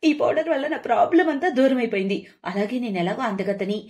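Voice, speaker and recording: A woman's voice: on the high side, a little fast, a little mellow, close to an everyday voice. A woman voicing a young newlywed daughter-in-law, proud and easily flustered, with an emphatic, girlish manner, in a story. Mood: smug.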